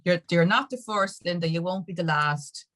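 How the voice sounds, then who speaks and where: Low-voiced Irish woman telling her story. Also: normal speed, a bit bright. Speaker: podcast guest, music industry activist. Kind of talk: casual conversational storytelling.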